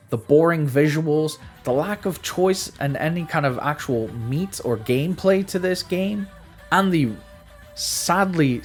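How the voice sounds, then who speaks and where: Animated male game reviewer with music behind. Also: a little high. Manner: conversational, emphatic, stammers and repeats words. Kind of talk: casual video game review to camera or voice-over.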